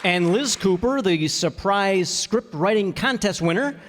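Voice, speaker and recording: A man's voice: high voice, animated, unhurried, some chatter behind. A man announcing cast credits to a live audience in the style of a radio show. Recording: reverberant hall, audience and noise behind.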